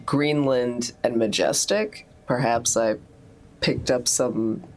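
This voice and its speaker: A woman's voice: a bass voice, takes it slowly. A woman chatting casually as a player in a tabletop role-playing game.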